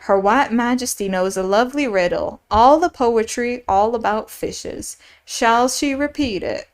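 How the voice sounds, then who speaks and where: A haughty storybook queen gives clipped orders and makes slow, solemn pronouncements, as a woman reader puts her on. Her voice sits a little high. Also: expressive, natural voice. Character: stern, bossy Red Queen, decisive and solemn.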